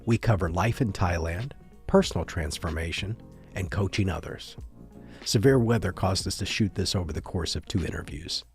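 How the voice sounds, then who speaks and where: A man's voice: deep voice, moderate pace, faint music behind. A man speaking as a podcast host and casual interviewer.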